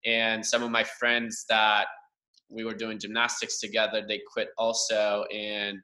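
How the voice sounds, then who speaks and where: A young man from Romania, Romanian his first language, talks through his past in a relaxed interview. His voice is strikingly bright and forward, and his answers run long and earnest. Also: fairly low pitch, measured pace, rough recording. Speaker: guest, cheer assistant coach. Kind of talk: casual interview over a livestream.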